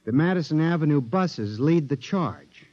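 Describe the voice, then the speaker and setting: An actor playing a frantic, pleading patient in a mental hospital, in 1950s radio drama style. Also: male voice, on the high side, lively intonation, normal speed, close to an everyday voice. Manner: earnest, pleading, rising to frantic. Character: man, a frantic asylum patient fearing machines. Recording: dull old radio broadcast.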